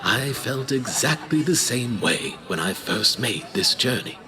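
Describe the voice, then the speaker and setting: An actor playing a fussy, kindly old archivist and teacher with a hesitant, theatrical way of talking, in a fantasy audio drama. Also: male voice, medium pitch, big pitch swings, unhurried, crowd noise. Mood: anxious, kindly. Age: older. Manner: hesitant, theatrical, sometimes breathy.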